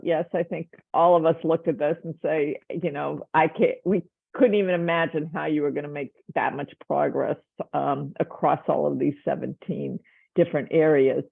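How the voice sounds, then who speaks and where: A woman's voice: low voice, gravelly, no room sound. A woman speaking as a panelist on a video call, thoughtful and hedging with fillers. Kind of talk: virtual panel discussion. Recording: tinny phone-like dry sound.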